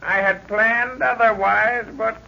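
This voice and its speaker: Slow, high-voiced gloating radio villain. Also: male voice, fairly even intonation, creaky, heavy background noise, exaggerated voice. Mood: mocking triumph. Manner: mocking, gloating, slow and deliberate, chuckles often. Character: gloating, cackling mad villain inventor, menacing man. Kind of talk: 1930s radio serial melodrama acting. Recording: muffled old radio recording.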